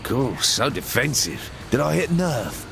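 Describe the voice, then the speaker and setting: An actor playing a cocky, foul-mouthed British gang boss in a video game, flippant and teasing. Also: male voice, medium pitch, sing-song, unhurried, creaky, low-quality recording.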